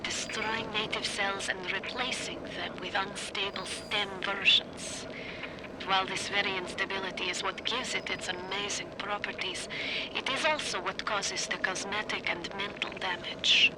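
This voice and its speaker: A middle-aged woman scientist played by an actress confides in a game audio diary. She is measured, clinical and brooding, with a cold edge over deep unease. Her voice sits at an ordinary pitch under loud tape noise. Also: steady tone, natural voice.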